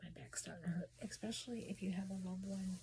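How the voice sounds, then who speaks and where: A woman talking casually about spirits and grief in a soft whisper, hesitant and full of restarts. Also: medium pitch, fast, a little hiss or hum. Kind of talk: casual personal talk.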